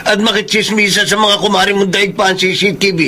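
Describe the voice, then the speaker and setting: A man plays an idle middle-aged father in a radio drama, wheedling and indignant. His voice sits high for a man, with clear noise around it. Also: fairly even intonation, fairly quick, lightly acted. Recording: noisy background ambience.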